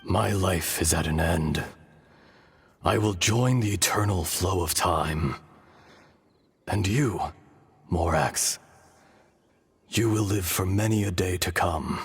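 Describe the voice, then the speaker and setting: An actor playing a solemn, fatalistic ancient dragon in human form in a video game, speaking gravely in formal, archaic phrasing. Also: male voice, low voice, takes it slowly, pauses often, heavily acted. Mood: resigned farewell. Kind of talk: video game dialogue acting. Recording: music behind the voice.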